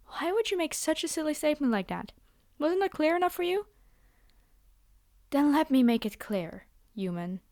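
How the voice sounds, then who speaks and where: A woman's voice: on the high side, a little mellow, no room sound, studio quality. A woman playing a haughty, possessive vampire noblewoman in an ASMR fantasy roleplay, speaking in a theatrical, condescending and formal way. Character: haughty, possessive vampire noblewoman, polite yet menacing captor.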